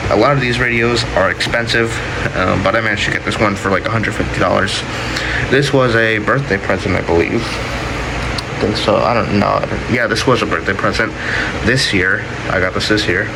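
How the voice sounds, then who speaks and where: Low-voiced man rambling casually over loud noise. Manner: rambling, offhand, self-correcting. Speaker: hobbyist collector showing weather radios. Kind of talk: casual unscripted show-and-tell narration.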